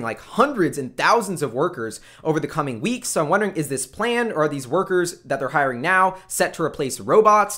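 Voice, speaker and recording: A man with a fairly high-pitched voice speaks as the host of an investing channel. He addresses his followers in casual, informal commentary. His manner is earnest and enthusiastic. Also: fairly bright tone.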